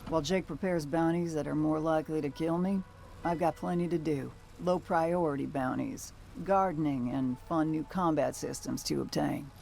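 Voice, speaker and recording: An actress playing a weary, guarded bounty hunter in a video game, dry and plain-spoken, with a gravelly voice. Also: female voice, sits low, normal speed, darkish tone. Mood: wry. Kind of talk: naturalistic video game acting.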